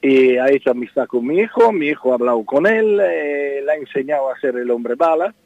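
A man's voice: medium pitch, expressive, fairly quick, no room sound, muffled recording. A middle-aged Spanish man with an Italian accent, a circus owner answering in a radio interview, hesitant and repeating his words. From Spain. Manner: hesitant, repeats words, says "mire" often.

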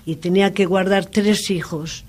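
Older woman from northern Spain, interviewee, mid-range pitch, rambling with many restarts and repeats. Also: fairly even intonation. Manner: halting, repetitive, wandering recollection. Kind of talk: oral history interview.

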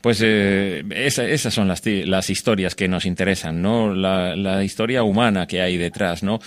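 Radio interviewer, lowish dry voice, halting questions, lots of "ujú". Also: male voice, no echo.

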